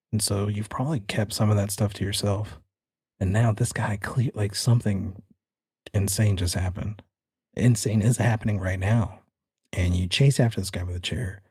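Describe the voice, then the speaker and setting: A man acts as game master for a tabletop horror game, with a dark, relaxed tone and a husky edge. He moves between scene narration and casual rules calls, recorded very dry over faint music. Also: fairly low pitch, moderate pace, dry recording.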